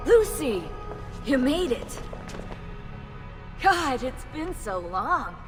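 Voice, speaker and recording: An actress playing an upbeat young tech expert, boastful and enthusiastic, in a game cutscene. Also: female voice, high-pitched, extremely slow, big-room sound, music in the background, exaggerated voice. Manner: excitable, boastful. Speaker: technician running the machine.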